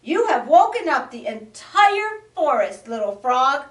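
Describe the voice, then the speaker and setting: A woman's voice: high-pitched, very animated, measured pace, a bit bright, pitched above the performer's own voice, full character voice. A woman as a polite curious possum. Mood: earnest. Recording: roomy, distant mic.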